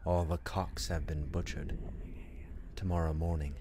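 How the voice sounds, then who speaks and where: Radio drama: male actor as tormented, sardonic prince, deep-voiced, bitterly theatrical, clearly noisy recording with ambience. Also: little pitch movement, a little slow. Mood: contemptuous, weary. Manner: brooding, dramatic, sardonic, slipping into whispers and outbursts. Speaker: performer in a student radio play. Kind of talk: theatrical radio-play monologue.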